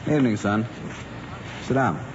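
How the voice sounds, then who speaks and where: Casting: man as middle-aged American banker dad, low voice, formal and paternal, dull old radio recording. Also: measured pace, noisy background, natural voice. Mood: formal, preoccupied. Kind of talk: 1950s radio drama acting.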